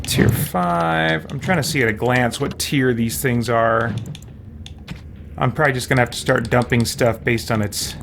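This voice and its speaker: A man's voice: medium pitch, steady tone, normal speed, background noise. A man hosting a let's play, giving casual commentary as he plays. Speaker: gaming host.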